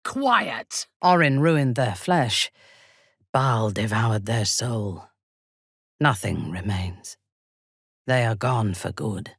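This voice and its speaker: A ruthless, commanding female dark elf for a fantasy game. Her voice is husky and mostly low, with wide, dramatic swings in pitch. She speaks formally and imperiously, with a vengeful edge. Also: expressive, drawn-out words, long pauses, dry recording, clean studio sound, exaggerated voice. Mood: fierce, then measured, grave. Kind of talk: fantasy video game voice acting.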